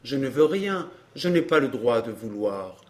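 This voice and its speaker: A man's voice: medium pitch, sing-song, unhurried. A man acting a suave, scheming suitor in a dramatised audiobook, with formal, theatrical and impassioned delivery. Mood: earnest pleading. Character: Georges, suave scheming suitor, pleading then violent.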